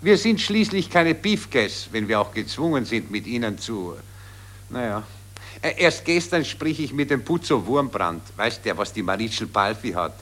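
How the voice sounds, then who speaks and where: An actor with a Viennese accent playing a blasé, grumbling aristocrat in a 1940s German radio play, talking in an affected, haughty, languid way. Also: male voice, mid-range voice, sing-song, moderate pace, fairly bright tone. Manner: affected, haughty, languid upper-class chatter. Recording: vintage 1940s radio recording.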